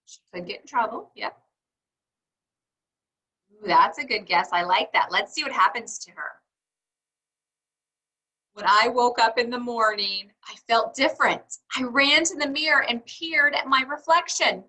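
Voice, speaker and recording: A woman reading a storybook to young children, encouraging them and pausing for answers to her questions. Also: on the high side, sharp tone, poor audio. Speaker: read-aloud teacher for young children.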